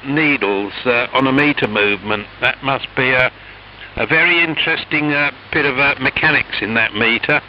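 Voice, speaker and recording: A man chatting casually as an amateur radio operator over shortwave, rambling and hesitant in an amiable way. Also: medium pitch. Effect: shortwave radio transmission. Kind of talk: casual ham radio chat. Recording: noisy, hissy, muffled shortwave radio signal. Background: radio hiss and static.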